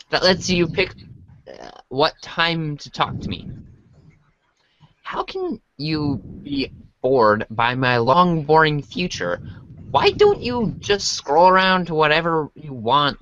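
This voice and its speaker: A naive, easygoing teenage boy acted by a man speaks in a bright, swooping voice. He is hesitant, incredulous and drily sarcastic, reading a radio-play part over faint noise. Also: fairly high pitch, lo-fi sound, full character voice. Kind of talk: amateur reader's theater reading. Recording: faint noise behind home recording.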